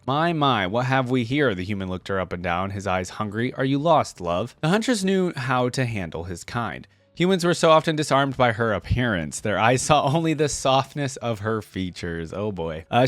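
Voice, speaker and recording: Man, medium pitch, informal reaction host, story reading with loose asides, dry studio-like sound. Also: dry recording. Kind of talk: reading stories aloud with casual reaction commentary.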